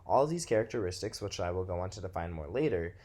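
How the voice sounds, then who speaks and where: A man's voice: low voice, animated, fast talker, a little mellow. A nineteen-year-old man from Texas with a Texas American accent, narrating a scripted presentation as a student. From Texas, United States.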